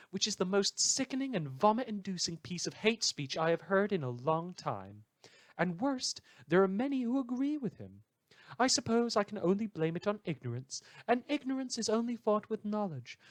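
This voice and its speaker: A man's voice: high-pitched, no room sound, pitched above the performer's own voice. A man voicing an opinionated, chatty radio listener's letter in a fussy, emphatic, declamatory way. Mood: indignant.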